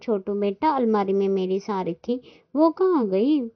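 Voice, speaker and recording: A woman voicing an exasperated, dramatic mother crow in a children's cartoon, complaining loudly and emphatically. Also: mid-range voice, animated, unhurried, lightly acted. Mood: upset, scolding. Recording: dull lo-fi sound.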